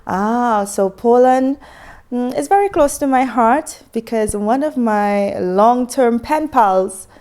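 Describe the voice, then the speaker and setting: A woman's voice: on the high side, takes it slowly, darkish tone. A woman from Trinidad and Tobago, answering interview questions candidly.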